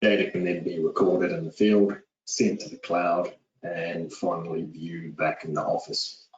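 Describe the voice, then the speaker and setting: A man in his forties with a New Zealand accent, giving a steady, businesslike webinar presentation with frequent "uh"s over a call. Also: deep voice, a little slow. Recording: echoey, distant, dull lo-fi audio.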